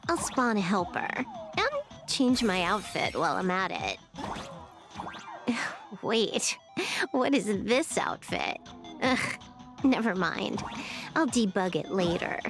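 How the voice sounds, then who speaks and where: A woman's voice: medium pitch, big pitch swings, slow pace, long pauses, music in the background. A woman playing a cocky, playful young hacker in a video game, nonchalant and teasing as she drawls. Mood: nonchalant, mildly annoyed. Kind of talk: scripted video game character acting.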